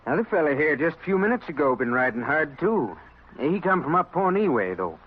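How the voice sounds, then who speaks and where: A man's voice: a little high, sing-song, a little fast. An actor playing a folksy, talkative frontier stableman with rustic phrasing in an old radio drama. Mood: easygoing, chatty. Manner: rustic, clipped country phrasing. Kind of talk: old-time radio western acting. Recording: muffled old radio recording, faint noise.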